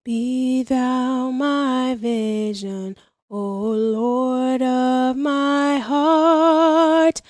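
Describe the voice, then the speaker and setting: A woman's voice: sits high, steady tone, drawn-out words, no echo, clean studio sound, faint music behind. A woman singing a devotional hymn. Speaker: singer. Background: soft musical accompaniment.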